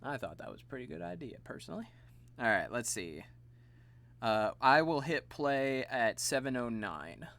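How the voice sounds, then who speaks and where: A man streaming live, chatting casually and laughing at himself, his voice slightly gravelly and tired. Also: medium pitch, sing-song, moderate pace, a bit bright. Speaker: streamer and voice actor.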